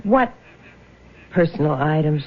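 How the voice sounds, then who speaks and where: A woman's voice: deep voice, animated, measured pace, a little hiss or hum. An actress playing a tough, suspicious American woman with a blunt, slangy, taunting manner in a 1970s radio drama. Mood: suspicious, combative. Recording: muffled vintage radio recording.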